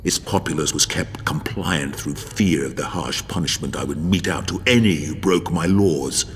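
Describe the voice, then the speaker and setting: An actor playing a brooding, embittered and ruthless warlord in a dramatic audiobook, grim, deliberate and theatrical. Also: male voice, rumbling low, slight background noise. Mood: cold menace. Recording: long hall reverb, music behind.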